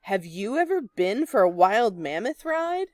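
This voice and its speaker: Female storybook reader, slow and lively in pitch, close dry recording. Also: dry recording. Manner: animated, deliberate storytelling. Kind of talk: expressive children's read-aloud.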